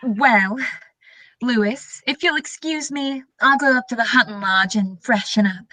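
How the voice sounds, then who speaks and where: A woman acts a glamorous, gushing flirt in a radio comedy. Her voice is fairly high and bright, and she takes her time. She coos every endearment and draws it out. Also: no room sound, poor audio. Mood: flirty, gushing. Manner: cooing, drawn-out endearments. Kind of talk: radio comedy sketch acting.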